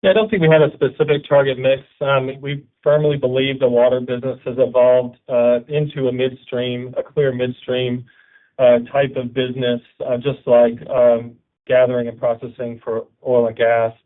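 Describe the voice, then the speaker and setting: Male executive answering business questions off the cuff, flat intonation, telephone-band audio. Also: mid-range voice, normal speed. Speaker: company executive. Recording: narrow phone-like line.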